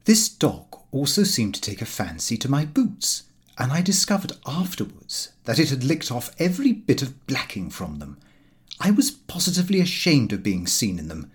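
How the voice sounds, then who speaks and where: A man reading a comic first-person diary aloud in an expressive, fussy storytelling manner. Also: mid-range voice, normal speed, husky. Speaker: audiobook narrator.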